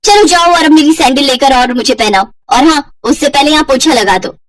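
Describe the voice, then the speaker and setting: A woman's voice: high-pitched, a little fast, natural voice. A woman voicing a spoiled, spiteful young sister-in-law who scolds and gives orders with contempt. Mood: demanding, scornful.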